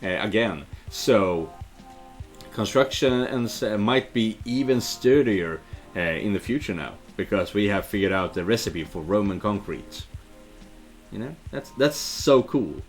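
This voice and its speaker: A low-voiced man plays a game and comments casually, rambling as he thinks aloud. He reads on-screen text in a loose, hesitant way, with music playing behind him. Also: lively intonation, a little slow. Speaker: video game let's player and dubber.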